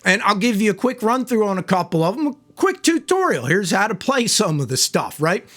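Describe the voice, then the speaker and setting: A hoarse man giving a relaxed, chatty banjo lesson, with banjo playing under his voice. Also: high-pitched, brisk. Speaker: banjo teacher. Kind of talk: casual video tutorial. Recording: loud banjo over the voice.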